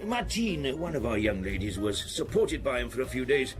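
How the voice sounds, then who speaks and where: An actor playing a charming, courteous Corsican bar owner in a radio drama, speaking in a deliberate, storytelling way. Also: male voice, medium pitch, big pitch swings, over music, close to an everyday voice. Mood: confiding, animated.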